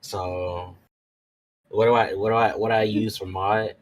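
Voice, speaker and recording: A man's voice: on the low side, steady tone, measured pace, low-quality recording. A man playing a tabletop roleplaying game, chatting casually and thinking aloud in slangy, repetitive phrases.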